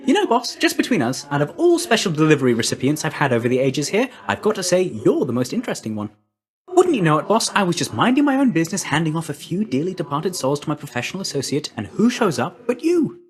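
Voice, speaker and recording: A man's voice: fairly high pitch, huge pitch swings, fast talker. A man voicing a breezy, chummy young messenger god in a video game, with a slangy, glib, friendly manner.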